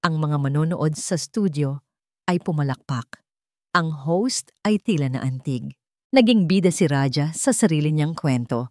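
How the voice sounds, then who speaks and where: Woman narrating a dramatic romance story, mellow lowish voice, really dry close sound. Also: lively intonation, a little slow, no echo.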